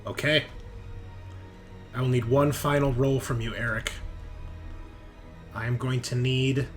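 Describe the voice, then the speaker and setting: Slow, dramatic male game master. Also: medium pitch, steady tone. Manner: deliberate short phrases with suspenseful pauses. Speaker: game master of a tabletop roleplaying game. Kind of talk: dramatic improvised narration with table talk. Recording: music behind the voice. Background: background music.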